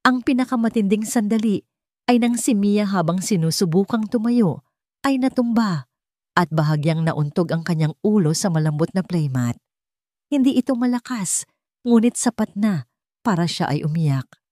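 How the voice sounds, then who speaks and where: A woman's voice: medium pitch, expressive, moderate pace, a little mellow, no room sound, clean studio sound. A woman narrating a scripted dramatic story as a storyteller.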